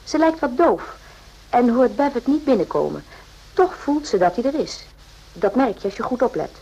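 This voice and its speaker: An actress playing a calm, observant army doctor in a radio drama, speaking in a measured, husky voice. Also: female voice, a little high, dull audio, heavily acted. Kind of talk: radio drama narration and dialogue.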